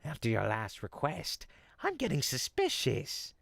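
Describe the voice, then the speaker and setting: A performer voicing a sly, smooth-talking goblin potion peddler in an audio roleplay, with folksy, teasing salesman patter. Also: mid-range voice, huge pitch swings, unhurried, a bit bright, no room sound, exaggerated voice. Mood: teasing, wheedling. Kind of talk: audio roleplay, in-character monologue to listener.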